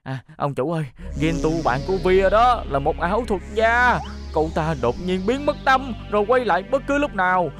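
A man voicing a bumbling, eager, fat villain's henchman in an animated cartoon, flustered and exclamatory, with a southern Vietnamese accent. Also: high-pitched, moderate pace, few pauses. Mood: flustered, excited. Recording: music behind the voice.

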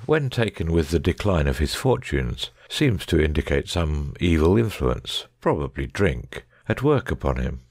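A man playing a confident, analytical gentleman detective in a dramatic audiobook, precise and didactic. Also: deep voice, dry recording, studio quality, full character voice. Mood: assured, didactic.

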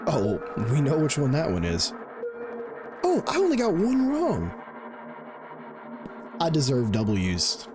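A man in his thirties giving casual, jokey gameplay commentary, often repeating himself and swearing, on a close desk mic. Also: fairly low pitch. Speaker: gaming video host. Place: indoor gaming setup. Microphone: close desk microphone and headset. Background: game music.

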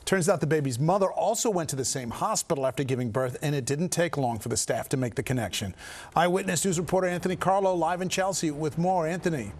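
A middle-aged man reading a scripted news introduction in a TV studio with a measured, steady anchor delivery. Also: on the high side.

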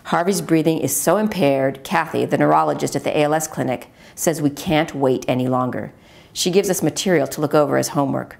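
A middle-aged American woman reading her own memoir aloud in a measured, earnest manner. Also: low voice, creaky, darkish tone. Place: at a wooden table in a home dining room.

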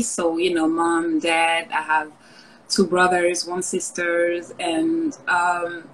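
A woman's voice: lowish voice, a little hiss or hum, poor audio. A woman who grew up in France, speaks casually and reflectively as an interview guest over a video call. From Paris suburbs, France.

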